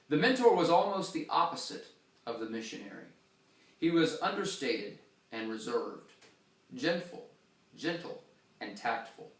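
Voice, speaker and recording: A man reading a story aloud in a church, with expressive pauses and shifts in loudness. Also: mid-range voice, vocal fry, roomy sound, recorded from a distance, poor audio. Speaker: reader.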